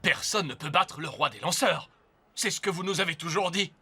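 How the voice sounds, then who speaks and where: An actor playing a boastful charlatan king in an animated series, grandiose and theatrical in delivery. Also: a little high, moderate pace, husky, exaggerated voice. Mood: wounded bluster. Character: pompous male showman king, a boastful charlatan slinger. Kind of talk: French animated series dubbing. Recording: music behind the voice.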